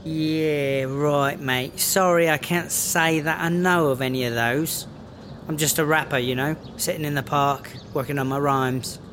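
A man's voice: a little high, steady tone, unhurried, a bit bright, a little hiss or hum. A man playing a wary, sly British rapper who smuggles on the side, in a comedic audio drama, with a British accent. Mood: evasive.

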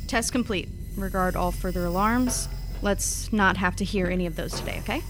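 An actress playing a brisk, motherly female safety officer on a ship's crew in an audio drama. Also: mid-range voice, music in the background, natural voice. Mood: brisk, caring.

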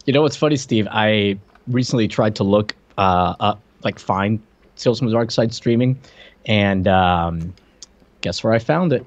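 A man's voice: on the low side, a little slow. A man from Puerto Rico co-hosting a casual film and TV podcast in a teasing, joking way. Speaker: podcast co-host. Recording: slightly dull, lo-fi audio.

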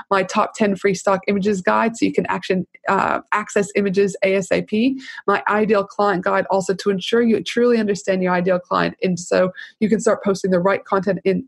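Woman podcast host, bubbly, mid pitch, dry close recording. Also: gravelly, breathy edge, no room sound. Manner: bubbly, enthusiastic, upbeat. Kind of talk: podcast interview and ad read.

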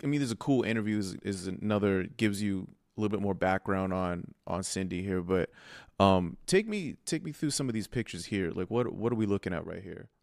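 A man's voice: low-pitched, lively intonation, fairly quick, creaky, darkish tone, dry recording, studio quality. A man hosting a casual livestream interview, speaking informally and often repeating his phrases. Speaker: interviewer, show host.

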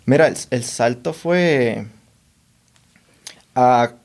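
Young male guest from Puerto Rico, mid-pitched, hesitant, restarts his sentences often. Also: creaky, a little mellow. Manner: halting, many restarts and repeated words. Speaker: guest, technology consultant. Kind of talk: casual interview chat.

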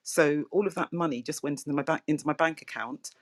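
A middle-aged woman with a soft voice, hosting a casual property chat over a video call, chatty and laughing often. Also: deep voice, quick pace. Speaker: property podcast host. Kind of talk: casual online interview chat.

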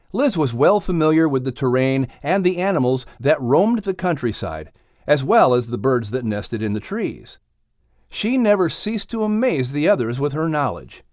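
An American man narrating and announcing scripted children's stories in a theatrical, expressive way. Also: mid-range voice, no room sound, studio quality. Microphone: close studio microphone.

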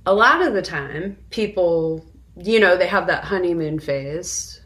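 Low-pitched woman, slow and reflective. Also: lively intonation, fairly bright tone. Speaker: podcast co-host. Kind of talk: casual conversational film discussion.

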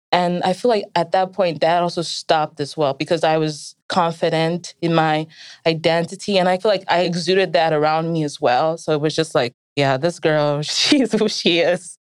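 A woman's voice: on the low side, clear, resonant tone, dry recording, studio quality. A young woman from Nigeria and the United States, speaking in an American accent with Nigerian traces as she tells reflective personal stories in an interview. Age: young adult.

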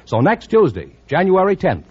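A man's voice: high-pitched, huge pitch swings, moderate pace, slightly rough. An American man announcing in scripted mid-century radio style with a polished, salesman-like delivery. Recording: muffled old radio recording.

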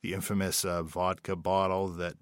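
A man's voice: sits low, level tone, normal speed, dry recording, studio quality. A man being interviewed about producing an audio drama, talking in a rambling, hesitant way. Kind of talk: interview guest.

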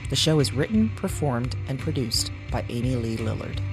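A woman's voice: extremely low pitch, expressive, normal speed, over music, rough recording. A woman reading scripted podcast credits and promotional narration.

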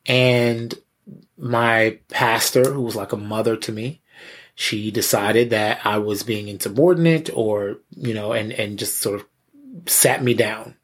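A man with a husky voice, a pastor and interview guest, giving candid personal testimony in a halting, conversational way with repeated words. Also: fairly low pitch, steady tone. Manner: halting, repeats words, frequent "you know".

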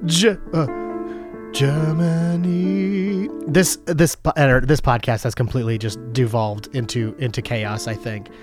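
A podcast host with a cold, talking in quick, excited bursts and joking around in casual chat. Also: medium pitch, music in the background. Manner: excitable, fast-talking, jokey. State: has a cold.

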